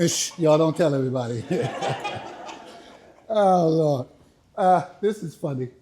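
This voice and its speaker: An older man giving a speech to a live audience, first a dramatic reading and then a relaxed chat, laughing. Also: measured pace, noisy background. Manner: booming oratory with rising emphasis; chatty, laughing, folksy asides. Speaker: speaker and author. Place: library event hall. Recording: echoey room.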